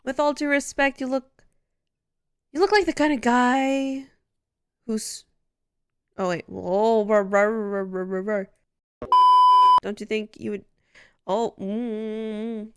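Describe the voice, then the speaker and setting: A woman's voice: a little high, fairly even intonation, takes it slowly, long pauses. A woman ASMR creator in casual outtakes, playfully stumbling over script lines and restarting them with nonsense syllables.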